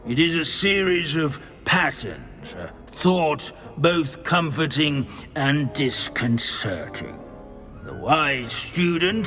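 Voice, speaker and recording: An old ghostly history professor from a video game. He lectures slowly with a wandering, swooping pitch, pedantic and drily wistful, over prominent music. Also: bright, forceful tone, heavily acted. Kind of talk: video game character dialogue.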